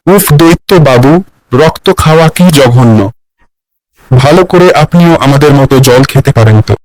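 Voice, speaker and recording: A man's voice: mid-range voice, animated, unhurried, a little mellow, no echo, lightly acted, rough recording. A man voicing a polite, meek homeowner husband who speaks in a placating way in a cartoon.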